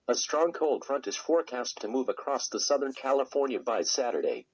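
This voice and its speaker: Husky synthetic male weather announcer. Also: medium pitch, lively intonation. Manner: measured, impersonal synthetic delivery. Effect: synthetic text-to-speech voice on weather radio. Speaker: automated weather radio announcer. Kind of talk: scripted weather forecast reading. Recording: dull lo-fi weather radio recording.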